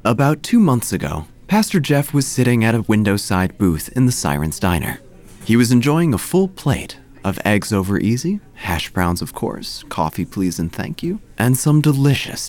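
A man's voice: on the low side, sing-song, normal speed, darkish tone. A man narrating scripted comic horror in a wry, theatrical manner.

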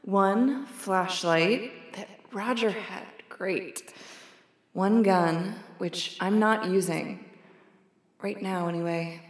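A woman playing a capable, exasperated team leader in an audio drama, with brisk, sardonic, natural delivery. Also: medium pitch, reverberant, in a large hall. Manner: brisk, sardonic, muttering to herself.

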